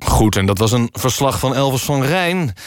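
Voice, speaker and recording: A man presenting a mock radio news magazine, reading scripted items in a deadpan manner. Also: mid-range voice, moderate pace, clear, resonant tone. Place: dry radio studio.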